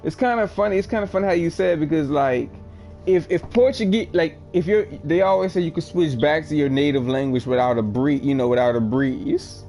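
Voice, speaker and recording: A man's voice: fairly high pitch, a little fast, mellow, faint music behind. A man chatting casually with viewers while streaming a game, rambling with many "like" fillers. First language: English. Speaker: livestreamer. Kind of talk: casual chat while gaming.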